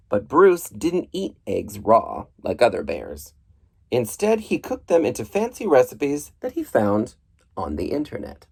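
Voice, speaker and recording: A man reading a children's book aloud in an expressive, playful storybook style, with a husky voice. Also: mid-range voice. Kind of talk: animated storybook read-aloud.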